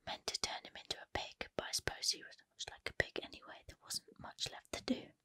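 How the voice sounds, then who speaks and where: A woman whispering the lines of a huge, bearded male gamekeeper who is gruff but kindly, in a storybook reading. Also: brisk, bright, forceful tone, no echo, studio quality, lightly acted. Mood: rueful. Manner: whispered throughout.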